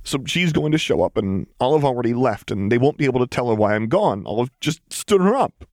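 A man voicing a distraught, lovestruck young woman in an audiobook story, hoarse and halting with emotion. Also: medium pitch, lively intonation, no echo, studio quality, pitched above the performer's own voice, close to an everyday voice. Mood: bitter, sad. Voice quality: hoarse, breathy.

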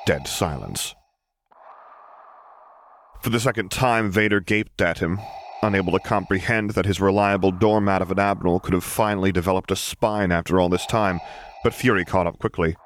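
A man's voice: deep voice, moderate pace, a little hiss or hum. A man reading a story aloud as dramatic, scripted audiobook narration.

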